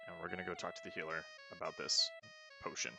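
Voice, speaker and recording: A man talks through a video game, rambling and second-guessing himself as he plays. His voice is very low and gravelly with steady fry. Game music runs clearly behind him. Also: fast. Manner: rambling, hedging, thinking aloud. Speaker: let's play host. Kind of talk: casual gameplay commentary.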